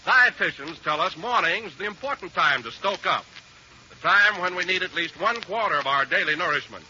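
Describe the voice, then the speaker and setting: Bright, high-pitched 1940s radio pitchman, male. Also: animated, normal speed, dull audio. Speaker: commercial announcer. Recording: band-limited old radio with faint noise.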